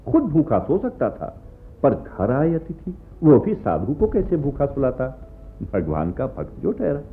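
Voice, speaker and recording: An actor playing a genial, bookish gentleman in a radio play, musing to himself and narrating a story expressively. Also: medium pitch, wildly swooping pitch, unhurried. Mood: storytelling. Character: bookish gentleman reading stories aloud at bedtime, genial. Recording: muffled narrow-band radio recording with music.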